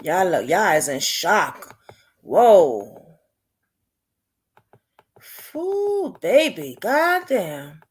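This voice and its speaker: A woman with a raspy, medium-pitched voice hosts a casual livestream. She talks slowly and haltingly, dropping in short, informal exclamations. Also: very animated. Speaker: livestream host.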